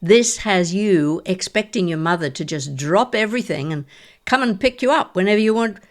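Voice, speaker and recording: An older woman playing a shrewd, sceptical grandmother in an audio drama, gently probing in a measured, questioning manner. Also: medium pitch, lively intonation, close to an everyday voice.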